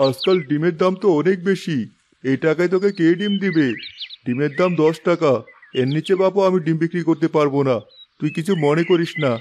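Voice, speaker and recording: Man playing a highish-voiced, plain-spoken village shopkeeper. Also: brisk, a little mellow, a little hiss or hum, natural voice. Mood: matter-of-fact, reluctant. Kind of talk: Bengali cartoon moral story dialogue.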